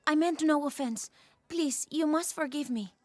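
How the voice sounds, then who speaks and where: A woman's voice: high-pitched, moderate pace, no echo, natural voice. A woman playing a wary, deferential townswoman in a video game. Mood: apologetic, deferential. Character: wary local townswoman, turning humble and deferential. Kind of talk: video game dialogue acting.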